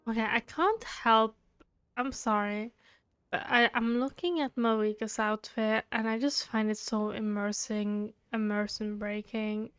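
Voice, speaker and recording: A woman doing casual, chatty game commentary in an unhurried, hesitant way, picked up unevenly by a gated microphone. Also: fairly high pitch, steady tone, a bit bright, smooth, no echo. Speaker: game streamer. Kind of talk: casual game playthrough commentary, reading dialogue aloud. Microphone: gated microphone, uneven pickup. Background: game music.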